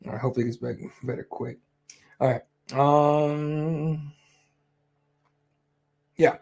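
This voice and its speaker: A man's voice: on the high side, fairly even intonation, slow, vocal fry, darkish tone, husky. A young man hosting a fight-night livestream, chatting casually with lots of fillers and swearing. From Mexican heritage. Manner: rambling, profane, easygoing, many fillers. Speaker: host of a fight companion stream.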